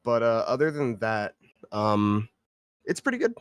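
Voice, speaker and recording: A man's voice: lowish voice, very animated, measured pace, clear, resonant tone, no echo. A man giving casual feedback in a hesitant, hedging, rambling way. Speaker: participant giving script feedback. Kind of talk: casual spoken critique.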